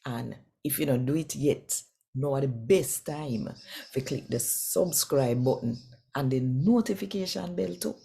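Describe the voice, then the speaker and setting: Low, slow-speaking Jamaican woman. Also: gravelly, dark tone. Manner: direct, conversational, addresses listeners with questions. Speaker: Bible reader and host. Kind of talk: devotional talk and scripture reading in Jamaican Patois.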